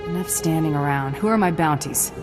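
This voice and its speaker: A woman's voice: deep voice, brisk, lightly acted. A woman voicing a cocky female bounty hunter who speaks in short, confident lines. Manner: terse, confident. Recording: game audio with music.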